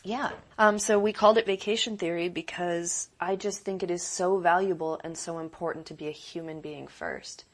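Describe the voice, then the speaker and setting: A woman's voice: fairly even intonation, normal speed, few pauses. A young adult woman producer and director talking in a casual interview, earnest and reflective, thinking aloud with small restarts. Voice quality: deep voice.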